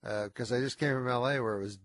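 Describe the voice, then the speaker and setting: A tired, stuffed-up man with a nasal voice, speaking as a guest in a casual radio interview in a slurred, low-energy, deadpan way. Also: rapid-fire, dry recording.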